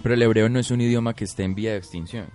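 A man's voice: lowish voice, fairly even intonation, very fast, a little mellow, soft background music. A man chatting casually as a radio co-host. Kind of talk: casual chat.

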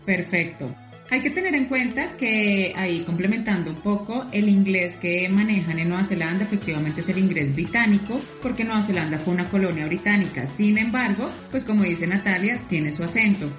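A woman's voice: mid-range voice, a little fast, echoey room, soft background music. A Colombian woman interviewing a guest in a casual, upbeat way, full of fillers. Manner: upbeat, fillers like "pues" and "súper". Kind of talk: casual interview over a call.

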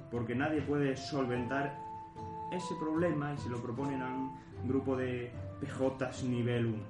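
A man's voice: medium pitch, natural voice. A man narrating a comic fantasy audio drama in a tongue-in-cheek, mock-solemn way. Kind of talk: scripted storybook-like fantasy narration. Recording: roomy echo with music behind.

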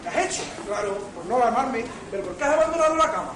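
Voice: medium pitch, animated, fast, crowd noise, close to an everyday voice. A performer playing a fussy, overbearing older uncle in an amateur comic radio play, scolding anxiously and insistently. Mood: worried scolding. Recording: roomy distant stage recording, noisy audience.

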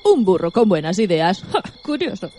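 Woman playing a mellow, wry dog. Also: mid-range voice, very animated, moderate pace, slight background noise, lightly acted. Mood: wry, pleased. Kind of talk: radio theatre acting.

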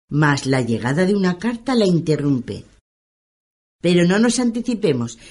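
A woman's voice: mid-range voice, a little mellow. A woman narrating a radio play in a dramatic, scripted storytelling style.

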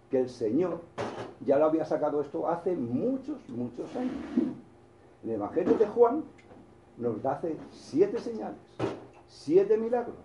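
Preacher, male, Spain Spanish accent, very dark warm voice, anecdotal style, echoey dull church sound. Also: high-pitched, a little hiss or hum. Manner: storytelling with frequent restarts and asides to the congregation. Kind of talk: sermon.

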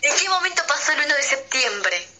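A woman's voice: sits high, sing-song, brisk, a loud crowd. A young woman co-hosting a radio show, giving opinions in casual, slangy chat. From Argentina.

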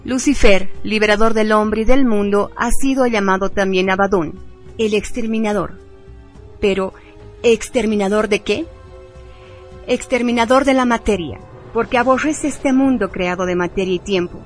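A woman narrates a scripted radio piece in a mid-range voice. She reads solemnly and deliberately, as in a documentary. Faint music runs underneath. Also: medium pitch.

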